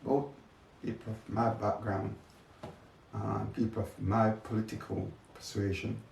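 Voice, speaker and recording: A man's voice: lowish voice, flat delivery, unhurried, vocal fry, a little mellow. An older man being interviewed, talking reflectively about his experiences in his own words. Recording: echoey, distant mic, faint noise.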